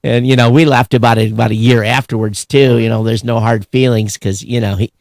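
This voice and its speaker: A man's voice: medium pitch, steady tone, a little fast, gravelly, darkish tone, no echo, studio quality. A middle-aged man co-hosting a podcast, chatting casually about tech news in a blunt, sarcastic way. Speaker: podcast co-host.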